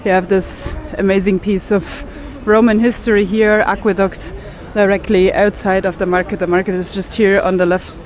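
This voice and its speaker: A woman's voice: medium pitch, little pitch movement, normal speed, hardly any pauses, a little mellow. A woman co-hosting a travel vlog on an outdoor city street, talking earnestly and arguing her points with numbers. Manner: earnest, argumentative, explains with figures. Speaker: travel vlogger, co-host. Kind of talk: casual vlog narration. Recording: street traffic noise behind.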